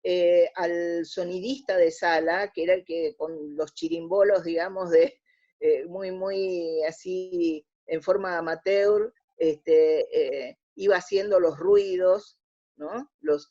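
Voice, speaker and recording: A woman's voice: lowish voice, dark tone, highs cut off. An Argentine woman answering as an interview guest in a conversational, explanatory way, often rephrasing mid-sentence. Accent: Argentine Spanish. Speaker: interview guest, radio drama researcher.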